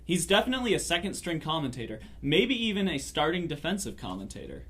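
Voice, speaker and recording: A man's voice: a little high, huge pitch swings, quick pace, a bit bright, breathy edge, heavily acted. A man playing an exasperated sportscaster caught between squabbling exes in a comedic table reading, protesting with mock diplomacy. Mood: mock-diplomatic.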